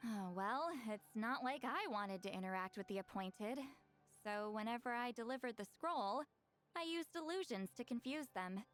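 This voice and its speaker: Game shaman , a woman acting, youthful higher register, proud and aloof with a soft heart, measured delivery. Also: lively intonation, faint music behind, close to an everyday voice. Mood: defensive pride. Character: proud, aloof two-hundred-year-old shaman woman who looks young.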